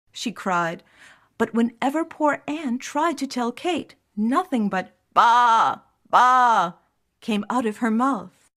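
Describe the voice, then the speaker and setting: A woman narrating a scripted fairy tale for children in an expressive storybook delivery. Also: high voice.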